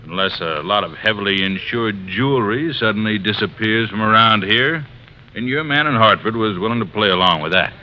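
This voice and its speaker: An actor playing a worried, folksy resort owner in a vintage radio drama, hesitant and plain-spoken. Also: male voice, lowish voice, lively intonation, a little fast, natural voice. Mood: worried, confiding. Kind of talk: 1950s radio drama acting. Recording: dull, noisy vintage radio recording.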